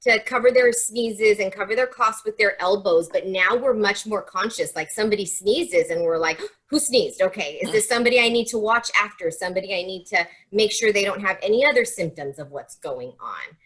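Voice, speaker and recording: A woman who teaches toddlers, answering interview questions in a lively, hesitant way with fillers. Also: mid-range voice, brisk, hardly any pauses.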